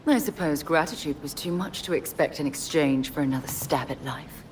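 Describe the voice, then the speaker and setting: Low-voiced, menacing assassin leader, played by a woman. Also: lightly acted, poor audio. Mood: coolly mocking. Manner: formal, deliberate, ornate phrasing. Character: poised, cunning female assassin leader, coolly menacing. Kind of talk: video game cutscene acting. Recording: low rumbling noise behind.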